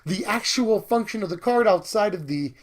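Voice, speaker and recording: A young man with a fairly high voice gone slightly hoarse talks eagerly about new cards. He rambles casually, full of fillers and quick bursts of excitement. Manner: enthusiastic, talkative, fillers and laughs. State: hoarse, losing his voice. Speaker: card game video host.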